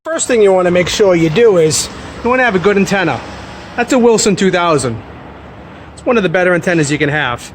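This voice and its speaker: A trucker talks through a hands-on job step by step. He is a man with a somewhat high-pitched voice and a casual, matter-of-fact delivery. The recording is made in a truck cab, with light background noise and clicks. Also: lively intonation, fast talker. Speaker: trucker and vlog host.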